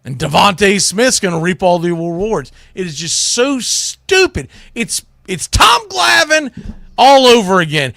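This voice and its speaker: A man with a high, very lively voice delivers sports commentary. His tone is bright and forceful, and he talks in a blunt, folksy, exasperated way, like a radio host on a rant. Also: vocal fry, no room sound, clean studio sound. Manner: exasperated ranting, blunt, mildly salty, folksy.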